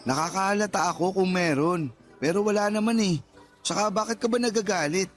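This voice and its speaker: An actor playing a defensive, teasing, flippant young boyfriend with a Filipino accent in a radio drama, whining out excuses. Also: male voice, high-pitched, a little fast, close to an everyday voice. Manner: whiny, flippant excuse-making. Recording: background music under the voice.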